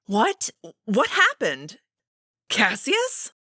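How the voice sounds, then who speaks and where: A woman's voice: fairly high pitch, huge pitch swings, drawn-out words, sharp tone, husky, no room sound, studio quality, full character voice. A woman voicing a concerned, alert female alien wolf in a visual novel. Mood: alarmed, concerned.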